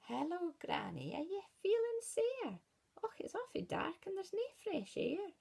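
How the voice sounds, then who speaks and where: A woman's voice: extremely high pitch, wildly swooping pitch, measured pace, creaky, a little mellow, voice pushed up, full character voice. A woman voicing an innocent little girl with a Scottish accent, asking wondering questions. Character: innocent little girl visiting her granny.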